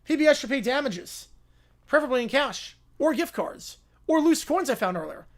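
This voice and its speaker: A woman voicing a smooth-talking, self-promoting huckster lawyer with showy, formal salesman patter in a comedy skit. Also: high voice, lively intonation, moderate pace, voice pushed up. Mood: mock-solemn pleading.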